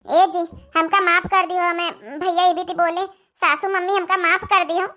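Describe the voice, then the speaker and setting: A woman's voice: extremely high pitch, fairly even intonation, moderate pace, background noise. A woman voicing a devoted young married sister in a cartoon family drama, earnest and emphatic, with an Awadhi accent. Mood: pleading.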